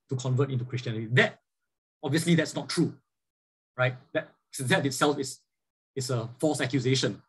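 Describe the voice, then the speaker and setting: Earnest husky-voiced male Bible teacher. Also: medium pitch, poor audio. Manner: earnest, asks the audience rhetorical questions; frequent fillers and repeated restarts. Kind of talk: church lecture over slides.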